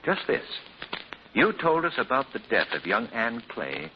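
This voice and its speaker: Suave gentleman detective, polished, lively intonation, muffled old radio sound, faint hiss. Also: medium pitch, measured pace. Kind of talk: 1940s radio acting. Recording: muffled 1940s radio broadcast.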